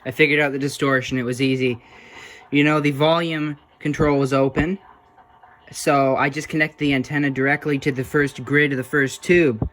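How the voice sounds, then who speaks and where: A man's voice: medium pitch, level tone, normal speed, fairly bright tone. A man giving a casual, informal narration of hands-on repair work, thinking aloud a little hesitantly as he goes. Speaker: hobbyist restorer presenting a repair.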